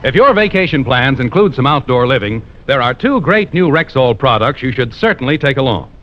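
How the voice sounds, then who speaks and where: A man announcing in polished, emphatic 1950s radio style, reading scripted copy like a salesman. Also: a little high. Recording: muffled old radio recording.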